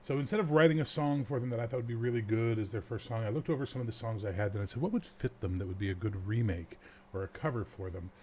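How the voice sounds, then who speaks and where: A man's voice: medium pitch, fast talker, warm tone. Middle-aged man chatting, some background noise. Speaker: host of an online music show. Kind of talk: casual chat introducing songs.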